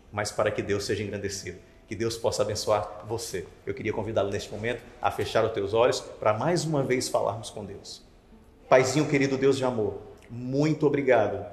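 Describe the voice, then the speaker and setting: Man, thirties, devotional teacher, mid-pitched voice, emphatic questions, echoey indoor studio. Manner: expressive, gesturing, rising emphatic questions. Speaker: Bible study teacher. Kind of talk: devotional Bible lesson to camera. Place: indoor studio with wooden slat wall. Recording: roomy with long reverb.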